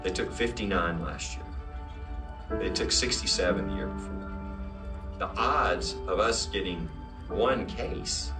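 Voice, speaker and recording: A man with a low voice gives an emphatic documentary interview, repeating points for effect. He sounds echoey and distant, with very loud music behind him. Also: expressive, measured pace, pauses often. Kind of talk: film interview.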